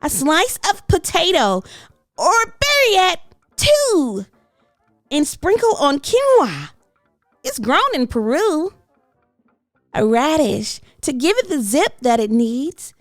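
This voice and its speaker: A woman's voice: high voice, very animated, takes it slowly, pauses often, dry recording, clean studio sound, faint music behind, pitched above the performer's own voice, full character voice. A woman voicing a tiny, eager little girl who makes polite but bossy demands in a children's storybook. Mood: eager, insistent. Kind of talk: children's storybook read-aloud.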